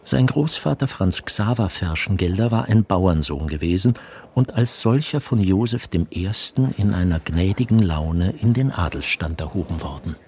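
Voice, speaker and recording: A man narrating a literary radio drama from a script in formal, measured storytelling sentences. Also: sits low, expressive, a little mellow, slight background noise. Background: music.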